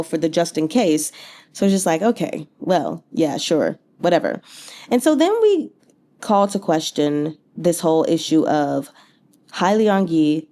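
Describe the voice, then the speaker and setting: A woman's voice: on the low side, dark tone. A woman giving casual, rambling book commentary as a channel host, thinking aloud in an informal, chatty way with slangy asides.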